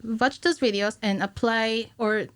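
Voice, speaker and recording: A woman in her thirties explaining things informally and haltingly as a guest in a casual studio interview. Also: fairly high pitch, fairly even intonation. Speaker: podcast guest, cinematic animator. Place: small podcast studio indoors. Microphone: studio microphone on stand, with headphones.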